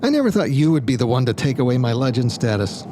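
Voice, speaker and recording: A man's voice: medium pitch, sing-song, fast talker, warm tone, a little hiss or hum, lightly acted. A man playing a smug, egotistical stage magician in an audio drama, taunting and persuasive in a theatrical way. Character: smug, egotistical, coaxing male magician, former stage star.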